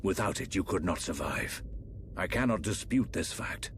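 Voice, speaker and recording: A low, grave voice of an aging fantasy ruler for a video game. He speaks formally and with measured weight, like a monarch addressing a guest. There is audible noise behind him. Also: lively intonation. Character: solemn aging emperor, stately fantasy ruler and father. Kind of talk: dramatic video game voice acting.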